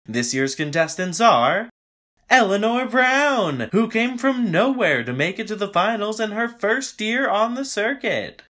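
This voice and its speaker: A man's voice: high-pitched, very animated, unhurried, bright, forceful tone, exaggerated voice. A man playing a slick TV contest host in an audio drama, with grand announcer delivery. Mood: showy, upbeat. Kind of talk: audio drama acting as game-show announcer.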